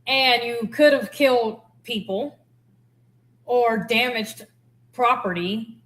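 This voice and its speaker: A woman's voice: fairly high pitch, slow pace, fairly bright tone, low-quality recording. A woman giving casual, candid explanatory commentary as a former air traffic controller.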